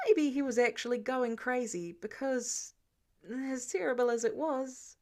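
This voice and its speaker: A woman narrating fan fiction in an expressive storytelling style. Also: high voice, measured pace.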